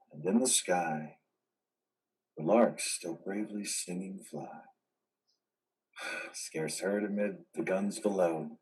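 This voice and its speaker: A man playing a traumatized soldier husband in a stage-style drama, halting and brooding but prone to explosive anguish. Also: deep voice, husky, distant mic, full character voice, rough recording. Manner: halting, brooding speech breaking into anguished shouts.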